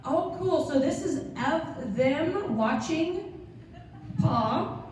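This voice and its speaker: High-voiced woman leading a school assembly. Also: animated, very slow, darkish tone. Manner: upbeat, cheering on students. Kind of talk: addressing a student assembly. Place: large school gym full of seated students. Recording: echoey gym from far off.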